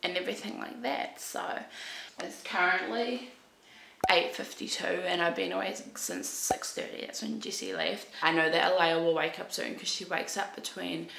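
An eighteen-year-old woman with a croaky voice from a cold, chatting casually to a handheld camera in a chatty, rambling way. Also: lowish voice, steady tone, moderate pace, fairly bright tone, slight background noise. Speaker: parenting vlogger. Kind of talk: casual vlog talk to camera. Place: bright open-plan living room and kitchen. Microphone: handheld camera at arm's length. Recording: echoey room.

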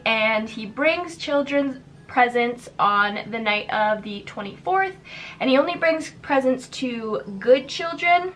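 Chatty young woman, bright upbeat voice. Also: high-pitched. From Canada. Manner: chatty, upbeat, lots of "like" fillers. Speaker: YouTube host. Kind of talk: casual storytelling while doing makeup. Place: decorated bedroom.

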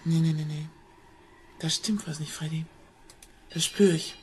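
A woman's voice: deep voice, fairly even intonation, measured pace, darkish tone, a little hiss or hum. An actress playing a worried middle-aged mother in a crime radio drama, hesitant, insistent and often repeating herself. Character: worried mother searching for her missing banker partner.

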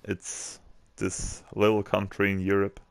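Slow, gravelly Austrian man gaming. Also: on the low side, monotone, no room sound. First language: German. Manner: chatty, joking, laughs often. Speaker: gamer. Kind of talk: casual chat while gaming.

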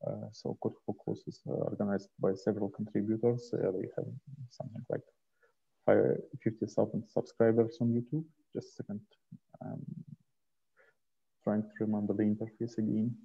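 A man presenting slides informally on a video call, speaking in a hesitant, halting way into a headset. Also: lowish voice, little pitch movement, gravelly, slightly rough. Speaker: host and presenter of an online community onboarding session. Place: small indoor room, video call. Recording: dull, muffled headset call audio.

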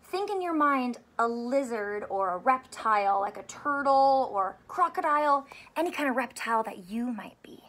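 A woman in her thirties, a primary school teacher reading aloud to young children in an expressive, carefully enunciated way and asking them questions. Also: a little high, normal speed, few pauses. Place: small home room with sofa and soft toys.

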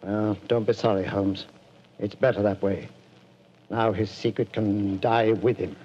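An actor playing a stern older army general who stammers, in a 1940s radio drama. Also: male voice, on the low side, steady tone, dark tone, lightly acted. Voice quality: stammering, halting. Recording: dull lo-fi radio recording with faint hiss.

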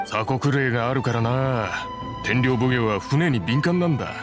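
An actor plays a loyal young craftsman for an anime game. He talks casually and bluntly at a middling pitch. Music is clearly heard behind him. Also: moderate pace, close to an everyday voice. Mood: wary, matter-of-fact. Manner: casual, blunt masculine speech. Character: young boatbuilder, wary but loyal, easygoing friend.

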